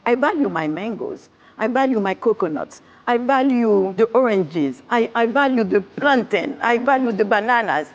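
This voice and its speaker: A woman's voice: a little high, animated, moderate pace, muffled recording. A woman from Haiti, a physician and farmer, answering interview questions earnestly and repeating phrases in lists. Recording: long hall reverb.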